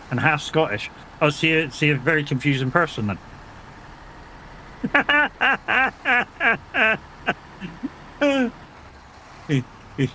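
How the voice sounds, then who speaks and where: A British man streaming a game from home, chatting loosely with his viewers in a bright, forceful voice. He's reassuring and easygoing, drops the odd swear word and laughs often. A faint engine hum sits behind him. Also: on the high side. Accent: British English. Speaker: gaming streamer. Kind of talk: casual live-stream chat with viewers.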